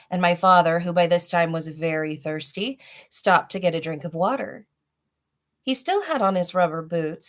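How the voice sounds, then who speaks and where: A woman narrating a children's story in an expressive read-aloud style. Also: lowish voice. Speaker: storybook reader.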